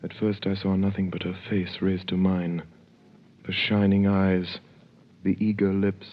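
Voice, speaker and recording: A man's voice: sits low, level tone, measured pace, highs cut off. An actor in his twenties narrating and playing an eager young sailor in a 1930s radio drama, earnest in manner, on a hissy old recording. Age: 20s. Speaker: narrator and lead.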